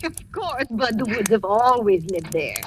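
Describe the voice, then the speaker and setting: A woman's voice: medium pitch, big pitch swings, measured pace. An actress playing a doting, emotional middle-aged mother in an old radio drama, fussing tenderly as she speaks. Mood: anxious fond. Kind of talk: 1940s-50s radio drama acting. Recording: noisy old radio recording with clicks.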